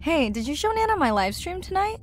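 An actress playing a keen, playful young diver-scientist in a video game, conversational and teasing. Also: female voice, very high-pitched, big pitch swings, fairly quick. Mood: warm, teasing. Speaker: marine scientist. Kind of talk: naturalistic video game acting. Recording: faint background noise, ambience.

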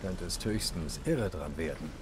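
Tiefer, spöttischer Adliger. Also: male voice, low voice, expressive, unhurried, music in the background. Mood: mocking. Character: jaded, sardonic immortal nobleman bandit, cruel and cool. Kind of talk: dramatic video game dialogue. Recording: rain and outdoor ambience behind.

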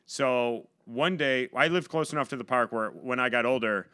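Bright-voiced American man in a dry room. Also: medium pitch, normal speed, clean tone, no echo. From Buffalo, New York, USA. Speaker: podcast guest, stand-up comedian. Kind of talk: casual comic storytelling. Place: small home podcast room, indoors. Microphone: podcast boom microphone.

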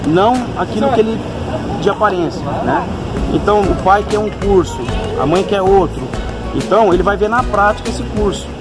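A man's voice: high-pitched, moderate pace, low-quality recording. A man in his thirties with a Brazilian accent, answering interview questions hesitantly with many fillers. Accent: Brazilian Portuguese. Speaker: event organizer. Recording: loud music behind.

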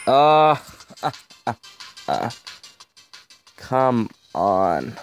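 A man's voice: medium pitch, big pitch swings, drawn-out words, faint music behind. A young man giving casual mobile gameplay commentary to his viewers, his voice strained and fading, chatty and easily frustrated with the game. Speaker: gaming video host.

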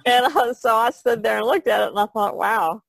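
A woman's voice: fairly high pitch, steady tone, fairly quick, gravelly, no room sound. A woman chatting casually as a panelist on a podcast. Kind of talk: casual chat.

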